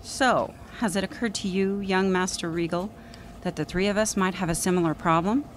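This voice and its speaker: A woman's voice: on the low side, normal speed, a little hiss or hum, close to an everyday voice. A woman playing a wry, pushy small-town newspaper reporter in a radio drama, sardonic and nonchalant. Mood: persuasive.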